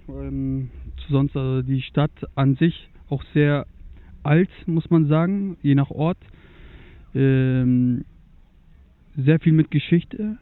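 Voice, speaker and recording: A young man from Germany, chatting as a guest about travel, hesitant, with many fillers. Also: mid-range voice. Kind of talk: casual conversation about travel. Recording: tinny lo-fi phone-like sound.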